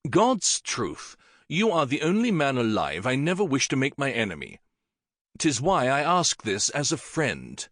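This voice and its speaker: A man voicing a commanding older king who speaks in a measured, regal way. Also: medium pitch, huge pitch swings, fairly bright tone, no echo, studio quality, voice pushed up, heavily acted. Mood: persuasive. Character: commanding older king, warm yet persuasive.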